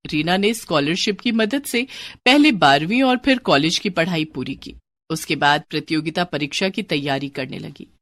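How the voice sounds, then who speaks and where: A woman narrating a moral storybook tale in a scripted, expressive way. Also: medium pitch, no echo. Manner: expressive, acts out all characters.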